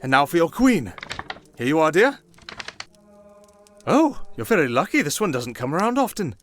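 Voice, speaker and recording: A man voicing a kindly, wry old high priest in a fantasy audio roleplay, with fatherly, ceremonious, unhurried phrasing. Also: sing-song, slight background noise. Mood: genial, ceremonious. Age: older.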